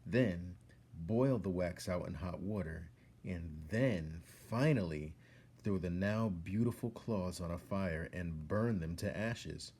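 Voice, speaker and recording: A man reading a children's story aloud as a storyteller, expressively and with dramatic pauses. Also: low voice, mellow, breathy edge. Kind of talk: narrated story read-aloud.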